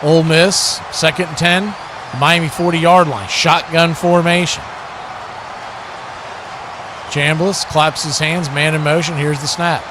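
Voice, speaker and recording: High-pitched man calling football, noisy background. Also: little pitch movement, slow, long pauses. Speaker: play-by-play announcer. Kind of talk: live football play-by-play commentary.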